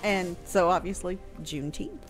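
A woman's voice: on the low side, moderate pace, soft background music. A woman in her thirties explaining casually as a podcast historian, close on a broadcast mic in a room at home. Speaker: historian answering questions. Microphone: close broadcast microphone on boom arm.

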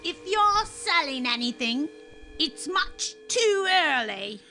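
A woman's voice: sits high, big pitch swings, takes it slowly, sharp tone, soft background music, full character voice. A woman playing a prim, wary old small-town housewife in a radio drama, speaking dismissively. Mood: puzzled, wary.

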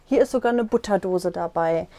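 A woman's voice: fairly low pitch, a little fast, dark tone, dry recording. A young woman casually showing viewers around a small caravan, explaining as she walks and points, recorded on a lapel mic. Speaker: vlogger giving a tour. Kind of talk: casual room tour.

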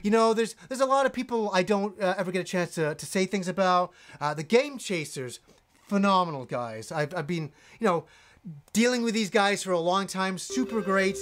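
A man in his forties, a gaming channel host, reading donations on a live stream with lively enthusiasm and rambling restarts, in a room with game shelves. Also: high voice, normal speed. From Yorkshire, England, raised in Canada. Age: 40s.

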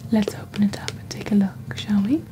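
A woman speaking in a breathy, whispery ASMR style, close to the microphone, with a deliberate theatrical delivery as she presents a scripted roleplay. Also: mid-range voice. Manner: slow, deliberate, hushed, theatrical storyteller delivery. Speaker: host of a roleplay shopping channel. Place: indoors at a desk. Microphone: close desktop microphone.

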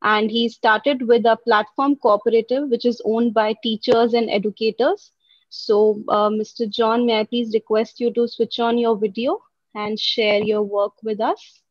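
A woman's voice: on the high side, flat delivery, a little slow, dry recording. A woman in her thirties moderating an online summit over a video call, making polite, formal requests. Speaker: session moderator. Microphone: video call, laptop camera. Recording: dry, dull video call audio.

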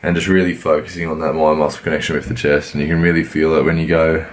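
A man, croaky from a cold, giving laid-back, rambling voice-over commentary on his workout. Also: a bass voice, flat delivery, fast talker, slight background noise. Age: 20s. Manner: laid-back, rambling, many fillers and restarts. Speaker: fitness vlogger.

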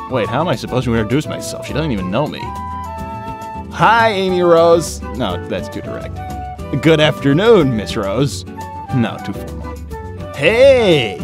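A man voicing a shy, nervous, lovestruck young hedgehog with a stammering, self-scolding manner in a comic dub. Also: medium pitch. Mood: anxious, determined. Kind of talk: animated comic dub acting. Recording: music bed behind voice.

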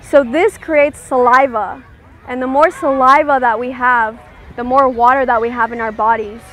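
A woman leading a guided meditation, giving gentle, soothing instructions and checking in with her listeners. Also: high-pitched, steady tone, moderate pace.

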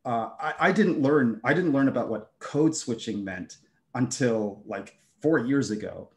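A man's voice: medium pitch, measured pace. Middle-aged man, hesitant panel speaker on a video call. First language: German. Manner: hesitant, many fillers and restarts. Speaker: game designer, keynote speaker. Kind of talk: conversational panel talk. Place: home room with shelves.

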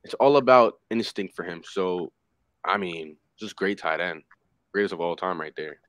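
A young man with a lowish voice talks football casually over a video call headset, rambling and full of fillers. The sound is dry and close, with no room around it. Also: moderate pace, a bit bright, no echo. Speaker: panelist. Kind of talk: casual sports chat.

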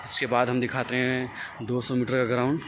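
A man's voice: mid-range voice, monotone, moderate pace. Young man giving a stadium tour. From India. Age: young adult. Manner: plain, descriptive, addresses viewers directly. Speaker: vlogger, athlete. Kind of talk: walking tour narration. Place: outdoor athletics stadium. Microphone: phone camera, handheld. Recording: outdoor phone audio with background noise.

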